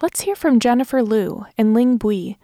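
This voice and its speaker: A woman's voice: on the high side, animated, moderate pace, warm tone, no echo, clean studio sound. A woman hosting a podcast segment, delivering scripted narration in a conversational way. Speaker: podcast host.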